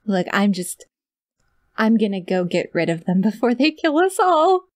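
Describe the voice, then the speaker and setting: A woman's voice: mid-range voice, big pitch swings, measured pace, no room sound. A woman playing a sweet, awkward, clingy young yandere kidnapper in an ASMR audio roleplay, rambling and gushing straight to the listener. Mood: excited, doting.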